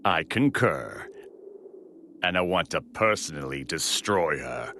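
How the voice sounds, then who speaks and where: A man voicing a proud, menacing villain in a video game, speaking in a measured, formal, superior way. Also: a bass voice, expressive, a little hiss or hum, exaggerated voice. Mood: cold anger. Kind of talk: theatrical video game voice acting. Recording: loud music behind the voice.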